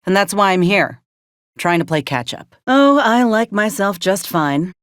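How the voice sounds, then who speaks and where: A woman's voice: medium pitch, expressive, a little slow, no room sound, lightly acted. An actress plays a battle-worn frontier woman soldier in a video game, loyal and guarded. She talks in a folksy, plainspoken way with a dry, wry edge. The sound is dry, with no room on it. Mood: resolute, wry. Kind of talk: video game character dialogue.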